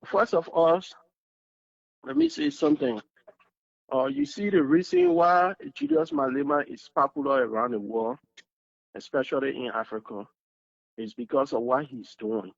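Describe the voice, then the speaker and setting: Earnest Liberian caller, dull dry phone line, stammering repeats. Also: male voice, on the high side, sing-song, moderate pace, gravelly, pauses often, dry recording. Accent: Liberian English. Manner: earnest, repeats words while searching. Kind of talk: emotional call-in testimony. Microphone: phone call.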